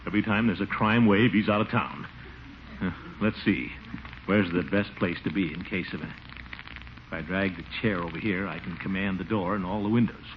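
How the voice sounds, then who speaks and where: An actor playing a pompous, flustered middle-aged uncle in a 1940s radio comedy, blustering and muttering to himself. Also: male voice, sits low, normal speed, natural voice. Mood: nervous muttering. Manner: blustery, muttering, self-important. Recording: dull, noisy 1940s radio recording.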